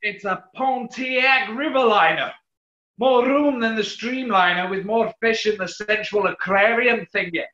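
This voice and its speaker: An actor playing a wealthy, breezy, imperious composer with a plummy, theatrical manner in a 1940s-style radio comedy. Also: male voice, high voice, unhurried, sharp tone. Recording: echoey, dull room recording.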